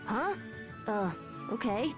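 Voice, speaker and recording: A woman's voice: a little high, takes it slowly. A woman playing a brash, headstrong young boy with a caring big-brother streak in a video game dub. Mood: excited, surprised. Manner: casual, lively, impulsive. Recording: music under the voice.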